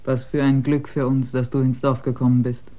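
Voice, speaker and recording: An actor in a German radio drama playing a devoted member of a poor village family, earnestly explaining. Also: male voice, medium pitch, flat delivery, moderate pace, mellow, natural voice.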